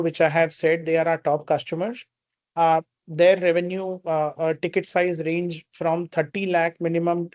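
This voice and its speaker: An Indian man speaks as a company executive taking investor questions. His voice sits a little high for a man and comes through very dry, with no room sound. He explains step by step in a spoken, unscripted way. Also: a little mellow, no room sound. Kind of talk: earnings call question and answer.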